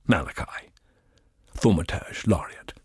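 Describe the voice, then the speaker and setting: An actor playing a proud, cold scholar-mage in a fantasy game, dictating orders in a formal, measured, authoritative husky voice. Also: male voice, a little mellow, no room sound, studio quality, lightly acted. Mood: imperious, proud. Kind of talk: formal in-game letter reading.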